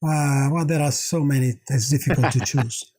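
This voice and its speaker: A man's voice: mid-range voice, normal speed, darkish tone, breathy edge. A middle-aged man from Italy with an Italian accent, answering interview questions conversationally as an executive guest.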